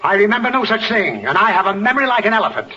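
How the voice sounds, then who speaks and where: A man's voice: sits high, big pitch swings, very fast, sharp tone, exaggerated voice. A man playing an exasperated, easily riled father in a 1940s radio comedy, scolding with theatrical bluster. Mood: indignant. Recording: muffled, hissy old radio broadcast.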